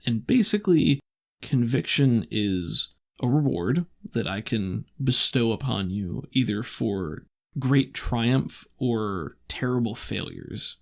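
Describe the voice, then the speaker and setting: A man with a gravelly voice, running a tabletop roleplaying game as game master, telling the story and explaining in a deliberate way. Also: mid-range voice, lively intonation. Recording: voice over background music.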